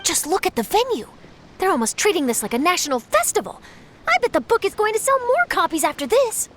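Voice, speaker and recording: A woman's voice: extremely high pitch, very animated, fairly quick, exaggerated voice. A woman voicing an eager, polite young female journalist in a video game, chatty and earnest. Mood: enthusiastic. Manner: bright-eyed, chatty, earnest. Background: game music.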